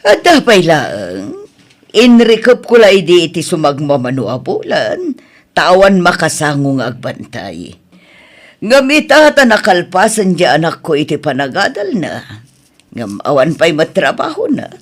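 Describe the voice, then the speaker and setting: A man acts out an older village market woman in an audio drama. She chats and explains in a raised voice with a hugely animated melody. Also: on the high side, unhurried, heavily acted. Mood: chatty. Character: chatty older village woman who runs a stall.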